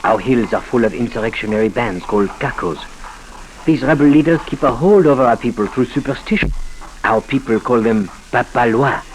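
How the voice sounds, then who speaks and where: A man's voice: mid-range voice, animated, fairly quick, close to an everyday voice, poor audio. A man acts out a suave, cynical Haitian doctor with a French accent. His voice sits in the middle register, and he speaks formally and courteously in the manner of 1940s radio drama. The old broadcast recording has faint noise. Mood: earnest, persuasive. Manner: formal, suave, punctuates speech with courteous address. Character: cynical, smooth Haitian doctor educated in Paris.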